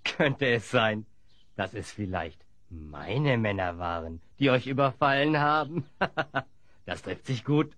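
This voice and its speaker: A man's voice: mid-range voice, expressive, slow, a bit bright. A man playing a menacing, gloating bandit chief and slave trader with a mocking drawl in a fantasy audio drama.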